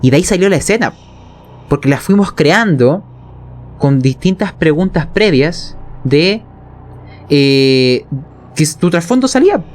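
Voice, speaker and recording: Chilean man, higher-pitched voice, colloquial game master teaching storytelling and checking that listeners follow. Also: expressive, measured pace, long pauses. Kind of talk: explanatory conversation on tabletop role-playing narration.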